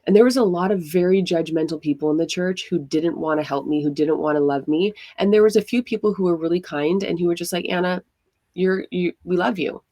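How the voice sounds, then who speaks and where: A woman's voice: fairly low pitch, fairly quick, mellow, no room sound. A woman from Hawaii, a podcast guest, telling her own story frankly and casually, with lots of fillers. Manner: frank, conversational, many fillers. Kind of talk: candid personal storytelling.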